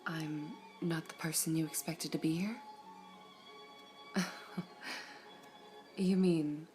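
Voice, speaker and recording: A woman voicing a serene all-seeing female gem in an ASMR roleplay, whispering softly in a measured, formal way. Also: deep voice, fairly even intonation, soft background music. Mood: calm whispering.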